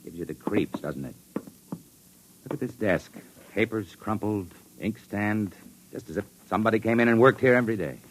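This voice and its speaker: Man acting a low-voiced, commanding spaceship captain. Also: normal speed, natural voice. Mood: uneasy. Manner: clipped, authoritative orders. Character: commanding male spaceship captain, wary and decisive. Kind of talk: 1950s radio acting. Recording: noisy vintage 1950s radio recording.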